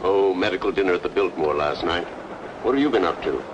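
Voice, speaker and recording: An actor playing a friendly, solicitous doctor in an old-time radio drama. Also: male voice, lively intonation, brisk, muffled recording. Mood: genial. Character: friendly, concerned male small-town doctor. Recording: noisy old radio recording, vehicle rumble.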